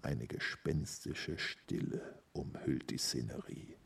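A man playing an ominous narrator of a spooky audio drama, speaking in a gravelly, breathy voice. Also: a bass voice, slow, far from the mic, exaggerated voice. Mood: slow, ominous. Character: ominous male narrator of a spooky haunted-house tale.

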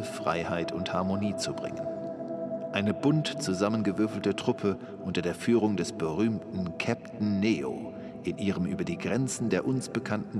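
A man narrating a space adventure radio play in a dramatic scripted style. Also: low voice.

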